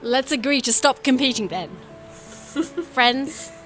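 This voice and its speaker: Young fairytale princess, audio drama, highish, earnest, measured, clear music bed. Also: female voice, fairly bright tone. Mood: sincere, soft. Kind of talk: audio drama acting and narration.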